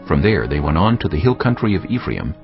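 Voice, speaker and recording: A man's voice: sits low, big pitch swings, fairly quick, dull audio. A man narrating scripture as a dramatised audiobook in a solemn storytelling manner. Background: music.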